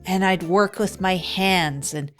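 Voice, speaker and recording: A woman's voice: lowish voice, slow pace, faint music behind. A woman narrating as a wry down-and-out woman. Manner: deadpan, rambling, self-deprecating asides. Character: wry down-and-out woman, self-mocking. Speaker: narrator of the show. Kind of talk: improvised comic first-person narration.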